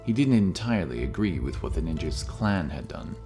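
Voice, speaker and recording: Really deep-voiced guy reading fantasy narration, steady, soft music behind. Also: a little fast. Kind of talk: scripted audiobook narration.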